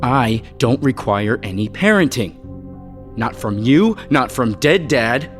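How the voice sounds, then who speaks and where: A man's voice: medium pitch, sing-song, slow, soft background music. A man playing a defiant, guarded adult heir in an audio soap opera, curt and dismissive. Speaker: actor.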